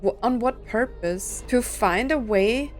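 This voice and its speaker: A woman's voice: fairly high pitch, takes it slowly, soft background music. A woman giving casual reaction commentary as a gaming streamer, thinking aloud and asking questions.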